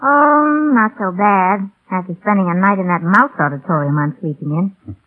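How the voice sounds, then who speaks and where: A woman's voice: mid-range voice, moderate pace, close to an everyday voice. An actress playing a wry, breezy young woman in 1940s radio drama, slangy and wisecracking. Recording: tinny, band-limited vintage radio broadcast.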